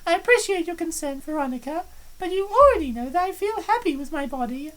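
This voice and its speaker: A woman's voice: very high voice, lively intonation, fairly quick, poor audio. A woman voicing a confident, cheerful young woman in a fan dub of a visual novel, with a self-assured, breezy delivery.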